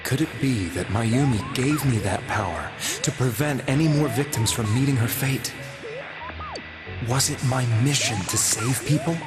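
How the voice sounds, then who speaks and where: A man's voice: mid-range voice, lightly acted. Man playing a guilt-ridden, solemn delivery man over music. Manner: halting, solemn, confessional. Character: guilt-ridden, earnest delivery man confessing his misguided mission. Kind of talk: dramatic video game voice acting. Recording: music behind the voice.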